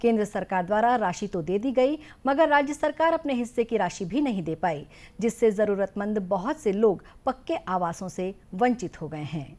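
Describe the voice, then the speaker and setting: A woman reading a scripted news bulletin in a formal, measured manner. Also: medium pitch, lively intonation, dark tone. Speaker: news reader.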